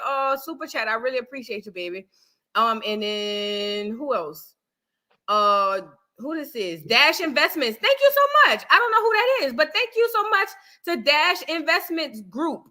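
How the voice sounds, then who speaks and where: A woman from New Orleans with a New Orleans accent hosts a casual livestream, her voice bright and forward. She sits a touch higher than most women and chats loosely with her viewers. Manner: warmly thanks viewers, calls them baby. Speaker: livestream host.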